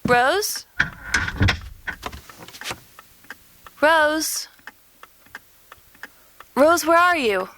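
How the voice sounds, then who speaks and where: A woman's voice: sits high, animated, extremely slow, pushed and bright, a little hiss or hum, exaggerated voice. An actress playing an anxious, earnest teenage girl in an audio drama. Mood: worried calling.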